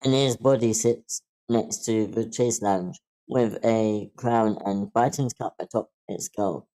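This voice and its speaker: Mellow man narrating stage directions. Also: lowish voice, steady tone, slow pace, rough recording. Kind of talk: amateur script table read narration.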